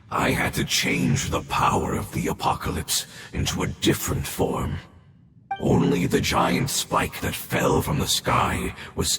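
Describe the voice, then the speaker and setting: Super deep gravelly old dying creature, solemn and tired, echoey with game music. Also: male voice, exaggerated voice. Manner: solemn, weary. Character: dying ancient creature guarding a promise. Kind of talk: game voice acting.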